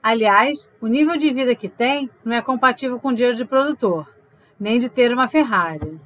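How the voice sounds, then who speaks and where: A woman's voice: fairly high pitch, a little fast, fairly bright tone, natural voice, low-quality recording. A woman playing a teasing, inquisitive, unsentimental girlfriend in an audio drama, probing and playful.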